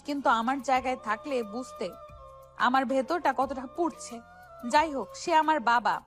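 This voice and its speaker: Young heroine played by a woman for romantic audio drama: high-pitched, emphatic, wounded but firm, over soft music. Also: close to an everyday voice. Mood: hurt, sorrowful. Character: wronged young woman, stern yet deeply hurt daughter. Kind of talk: Bengali audio story drama acting. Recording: music behind the voice.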